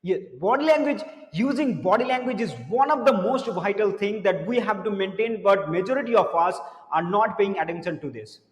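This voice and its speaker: A man with a high voice gives an earnest lesson on speaking to camera. He often repeats words and drops his voice low for serious points. The room is echoey with a long reverb. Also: brisk. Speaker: spoken English teacher. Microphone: camera mic, speaking to camera.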